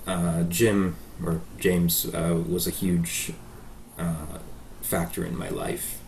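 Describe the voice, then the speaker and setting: A man's voice: low-pitched, little pitch movement, slow, vocal fry, darkish tone, slight background noise. A Canadian man in his thirties answering interview questions about his life, with frequent ums and uhs. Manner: hesitant, many ums and uhs. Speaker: guest pianist.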